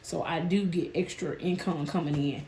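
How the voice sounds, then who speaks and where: Hoarse, nasal woman chatting to viewers. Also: on the low side, fairly even intonation, normal speed, warm tone, reverberant, a little hiss or hum, rough recording. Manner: chatty, addresses viewers as "y'all". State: hoarse and nasal from a sinus illness. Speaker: budgeting channel host. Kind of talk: casual chat to viewers.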